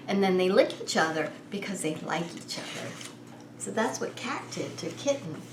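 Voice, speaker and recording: A woman reading a picture book aloud to young children as a preschool story time host, expressive and unhurried, drawing the listeners in. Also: medium pitch. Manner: animated, unhurried, asks the child listeners questions. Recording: roomy echo with faint background noise.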